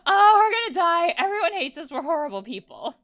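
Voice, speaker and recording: A woman's voice: very high voice, sing-song, brisk, a bit bright, pitched above the performer's own voice, full character voice. A woman voicing a panicky, overprotective guard wolf from a video game, reading the dialogue with dramatic urgency. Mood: panicked. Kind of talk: reading visual novel dialogue aloud.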